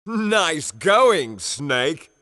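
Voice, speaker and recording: A man's voice: fairly high pitch, sing-song, bright, forceful tone, no echo, exaggerated voice. An actor playing a sneering male video game villain who mocks and goads his opponent. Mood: sneering, goading. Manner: mocking, drawn-out goading. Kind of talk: dramatic video game voice acting.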